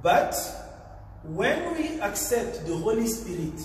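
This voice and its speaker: Slow-preaching priest in an echoey chapel. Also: male voice, high voice, very animated, far from the mic, a little hiss or hum. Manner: slow, deliberate preaching with frequent pauses and fillers. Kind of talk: homily. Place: large tiled chapel, indoors. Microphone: far camera.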